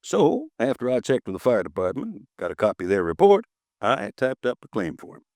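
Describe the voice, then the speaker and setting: A folksy, easily riled insurance agent in his fifties, voiced by a man in an old radio drama. His pitch swings widely as he talks. The sound is dry and close. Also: big pitch swings, a little fast, a little mellow, no echo, lightly acted. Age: 50s. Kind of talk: 1950s radio drama acting. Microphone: close studio mic, dry.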